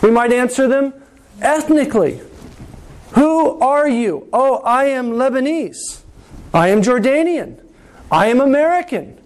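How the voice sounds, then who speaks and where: A man preaching a sermon to his congregation, slow and deliberate, with dramatic pauses and repetition. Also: very high-pitched, animated. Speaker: pastor.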